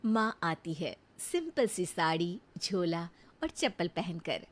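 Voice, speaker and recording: A woman's voice: fairly low pitch, very animated, slow. A female storyteller delivers scripted narration for a moral tale. She takes her time with each sentence, reading in a storybook style.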